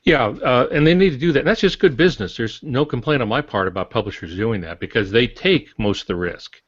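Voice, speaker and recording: A man's voice: mid-range voice, lively intonation, a little fast. A man speaking as an author on a podcast, explaining things frankly in a casual, colloquial way. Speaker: author, podcast guest or panelist. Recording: dull, roomy podcast audio.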